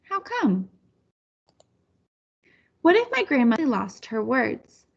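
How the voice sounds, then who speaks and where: A woman's voice: high voice, wildly swooping pitch, takes it slowly, creaky, husky, lo-fi sound, heavily acted. A woman voicing a curious, caring little girl in a storybook, wondering and questioning.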